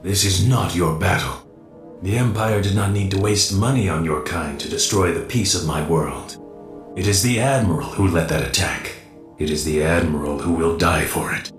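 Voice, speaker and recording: A man's voice: sits low, moderate pace. A man voicing a vengeful, bitter alien rebel leader in a video game, with a grave, accusing manner. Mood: bitter, defiant. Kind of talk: video game cutscene acting. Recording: echoing voice over game music.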